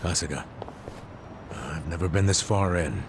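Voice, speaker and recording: A scruffy middle-aged know-it-all in a game dub. His low voice is full of gravel and rises and falls in an animated way. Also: a little slow, noisy background, heavily acted. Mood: easygoing. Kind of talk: English video game dub acting.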